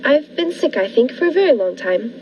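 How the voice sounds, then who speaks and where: Woman acting high-pitched frightened woman, unhinged and secretly cunning, 1940s radio thriller, muffled sound over music. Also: big pitch swings, fairly quick, slight background noise. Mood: weary, brooding. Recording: muffled lo-fi recording with music behind.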